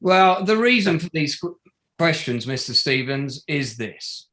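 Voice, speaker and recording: A man's voice: a little high, sing-song, a little slow, a bit bright. Man playing a sardonic barrister, swooping delivery. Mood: formal, accusing. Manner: pointed, formal, rhetorical tag questions. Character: probing, sardonic male barrister at a public inquiry. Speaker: actor in an online table read. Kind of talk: courtroom-style cross-examination in a play reading.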